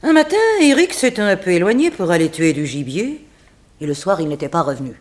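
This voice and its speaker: A woman playing a composed, unsentimental figure in a 1960s French radio mystery, telling things dramatically with weighted pauses. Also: fairly low pitch, expressive, close to an everyday voice. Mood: dramatic. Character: composed, unsentimental woman recounting a family tragedy. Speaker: actress in a radio serial.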